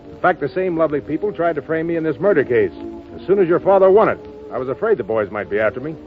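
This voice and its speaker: Animated, cool-headed gangster, a man acting. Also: fairly high pitch, fast, faint music behind, lightly acted. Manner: casual, clipped short lines. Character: cool, poker-faced gangster gambler, tough but courteous. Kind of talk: 1930s radio drama acting. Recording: dull old 1930s radio recording.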